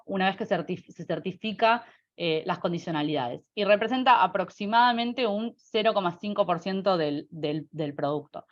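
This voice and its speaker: Argentine woman doing a webinar talk, mid pitch, kinda halting w lots of repeats, bit dull call audio. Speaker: webinar presenter. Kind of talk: presentation with slides.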